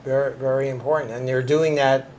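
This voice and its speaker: A man's voice: mid-range voice, steady tone, a little fast, darkish tone. Male podcast host, mid-range voice, short affirming interjections, faint background hiss. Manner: brief affirming interjections, smooth introductions.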